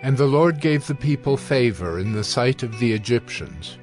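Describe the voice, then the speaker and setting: A man narrating scripture from a script in a measured, solemn manner. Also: medium pitch, lively intonation, a little mellow, soft background music.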